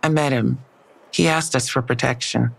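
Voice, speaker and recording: Creaky-voiced, dry female detective. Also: very deep voice, fairly even intonation, moderate pace, heavily acted, rough recording. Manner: dry, matter-of-fact. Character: skeptical, matter-of-fact female police detective. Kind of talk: audio drama acting.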